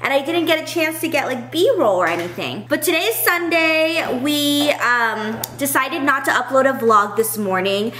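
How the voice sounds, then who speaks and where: A young American woman with a fairly high voice talks as a vlogger at home. She is chatty and informal, rambling casually as she addresses the camera. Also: lively intonation, normal speed, hardly any pauses, fairly bright tone. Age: young adult. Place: at a table in an open-plan house.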